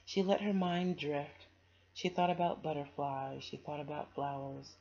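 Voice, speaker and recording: A woman's voice: sits low, a little slow, vocal fry, darkish tone, muffled recording. A woman doing a children's storytime, reading and chatting to listeners in an encouraging, affectionate way.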